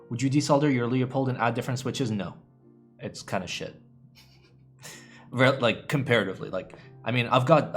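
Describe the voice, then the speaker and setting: A man in young adulthood, casually chatting with his live-stream viewers, rambling and swearing freely with playful groans. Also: medium pitch. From United States. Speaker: keyboard streamer.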